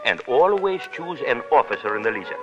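A man's voice: mid-range voice, big pitch swings, a little fast, over music, lightly acted. A man playing a worldly, insinuating café proprietor in a 1930s radio drama. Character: oily, worldly café proprietor, foreign and advising. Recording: dull vintage 1930s radio recording.

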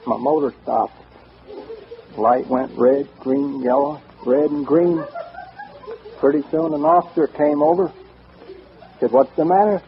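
A man's voice: mid-range voice, a little slow, creaky, pauses often. A man with an American accent playing a folksy comedian, telling gags in old-time radio patter. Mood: joking, easygoing. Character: folksy male banjo comedian telling tall mother-in-law gags. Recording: muffled, faintly noisy vintage radio recording.

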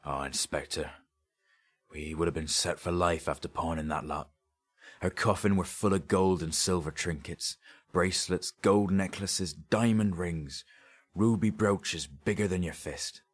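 A man's voice: low voice, measured pace. A man voicing a haunted, greedy grave robber in an audiobook story, with a confiding, colloquial way of telling things. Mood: greedy relish.

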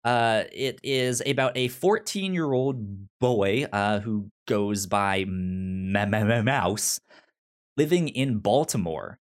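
A man with a pronounced stutter, casually pitching films as a podcast host in a halting, informal way. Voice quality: pronounced stutter with repeated initial sounds. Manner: halting, informal, hesitant phrasing.

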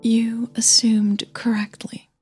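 A woman narrator voicing an uneasy young female singer in an audio drama, soft and breathy, polite, hesitant and apologetic. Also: fairly high pitch, flat delivery, takes it slowly, soft background music, voice pushed up, exaggerated voice. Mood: forced calm, uneasy.

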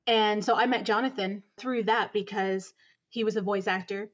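A woman's voice: medium pitch, fairly even intonation, moderate pace, muffled recording. A woman guest on a podcast interview, answering earnestly with frequent ums and laughs. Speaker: podcast guest, audio drama producer.

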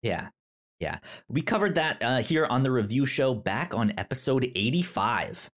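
A man's voice: medium pitch, big pitch swings, normal speed, fairly bright tone. A man hosting a podcast over a remote call, talking casually and often stammering and restarting his words. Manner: stammering, many restarts and ums. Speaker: podcast host. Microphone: remote call mic.

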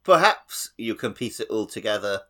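Low-voiced man, casual podcast co-host. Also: very animated, normal speed, fairly bright tone. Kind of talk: casual chat about a video game.

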